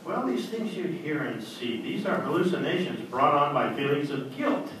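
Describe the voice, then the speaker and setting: A formal, probing doctor played by a man who reasons deliberately toward accusation. The voice is fairly high and very varied in melody, in vintage radio drama style. It sounds distant and echoing amid clear noise. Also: big pitch swings. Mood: measured, probing. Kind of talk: old-time radio drama acting. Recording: very echoey hall, distant mic, clear noise.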